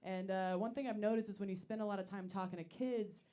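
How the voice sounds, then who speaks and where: Woman, late 20s, low voice, dry self-mocking stand-up delivery, lots of ums. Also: fast talker. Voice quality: deep voice for a woman. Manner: deadpan, self-deprecating, with many ums. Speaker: stand-up comedian. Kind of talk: stand-up set. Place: comedy club stage.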